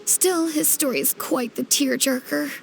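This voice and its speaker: A woman's voice: high-pitched, measured pace, creaky, a little hiss or hum. An actress playing an eloquent townswoman in a video game, speaking with theatrical, polished phrasing. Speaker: local townsperson. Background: game score music.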